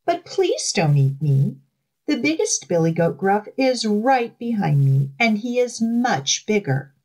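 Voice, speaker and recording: A woman's voice: medium pitch, very animated, unhurried, a little mellow, rough recording. A woman voicing a timid, middle-sized billy goat in a storybook, pleading emphatically.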